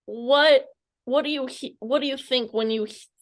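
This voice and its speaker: A woman's voice: sits high, moderate pace. Tentative woman interviewer, casual and rambling. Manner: tentative, rambling, stumbles over words.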